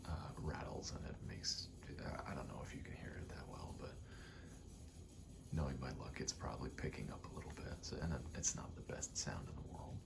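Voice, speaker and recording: A man softly rambling in a casual ASMR style, breathy and often whispering, sweary and self-deprecating. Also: a bass voice, fairly quick. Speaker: video creator rambling to camera. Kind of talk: soft-spoken ASMR ramble. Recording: clear background noise, rattling vent. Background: rattling vent noise.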